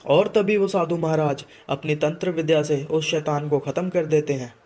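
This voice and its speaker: A man's voice: on the high side, steady tone, normal speed, darkish tone. A man narrating a scripted moral story in a dramatic storytelling style.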